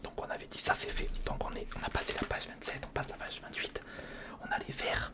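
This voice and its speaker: A man whispering informal ASMR commentary close to the microphone, murmuring step by step to himself and the viewer as he works. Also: fairly quick. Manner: murmured, informal running self-talk addressed to the viewer. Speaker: ASMR video creator. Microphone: close recorder microphone.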